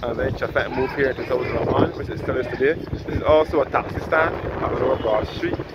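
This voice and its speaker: A man's voice: mid-range voice, fast talker. Man narrating a windy walking tour. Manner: rambling, self-correcting guide. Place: outdoors on a sunny harbour boardwalk. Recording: windy outdoor recording, muffled.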